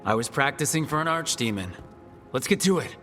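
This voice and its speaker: A resolute young fantasy game hero, speaking at a medium pitch with a dry wit. The voice rings in a hall over faint music. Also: male voice, animated, a little fast, bright, forceful tone. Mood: wry. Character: resolute, wry young male fantasy hero leading allies. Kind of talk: dramatic fantasy game acting.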